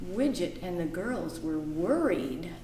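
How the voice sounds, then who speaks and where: Slow sing-song storytime woman, echoey. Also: lowish voice, lively intonation, distant mic, noisy background. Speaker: children's librarian, storytime host. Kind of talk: children's storytime, singing and reading aloud. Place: library room. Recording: echoey room, voice from afar.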